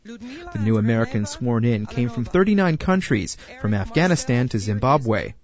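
A man's voice: mid-range voice, big pitch swings, moderate pace, darkish tone, dull audio. A man reading a scripted news feature as its narrator. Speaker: narrator, reporter.

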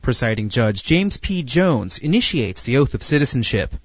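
Man narrating news, lively pitch, dry sound. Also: normal speed, slightly rough, dry recording, lo-fi sound. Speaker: narrator, reporter. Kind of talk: scripted news feature narration.

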